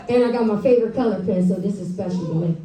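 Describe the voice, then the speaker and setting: A woman's voice: mid-range voice, mellow. A woman who teaches and writes children's books, giving an encouraging live talk straight to an audience of children and families. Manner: encouraging, addresses the audience directly. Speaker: children's author and school teacher. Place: library event room, speaking to a live audience. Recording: roomy, echoey live event, distant mic.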